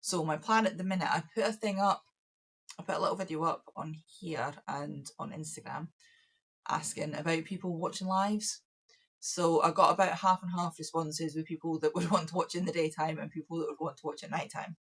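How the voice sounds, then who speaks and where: A woman with a croaky voice, talking through her plans for her craft business in a rambling, self-correcting way. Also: deep voice, fairly even intonation, a little fast. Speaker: craft business owner and YouTuber. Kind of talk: casual vlog planning.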